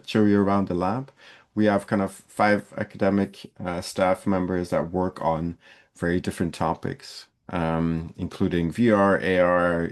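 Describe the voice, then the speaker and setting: A man's voice: deep voice, darkish tone. A man from Belgium giving a university lecture in a loose, conversational way, with frequent fillers and restarts.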